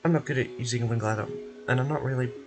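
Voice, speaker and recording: A man voicing a nervous but determined reporter in a video game, halting and unsure. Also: medium pitch, music in the background, close to an everyday voice. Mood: nervous, hesitant.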